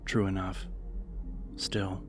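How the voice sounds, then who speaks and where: A man narrates a dramatic audiobook in a low voice. His intonation stays very flat throughout the scripted reading. Music is clearly audible behind him. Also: slow pace.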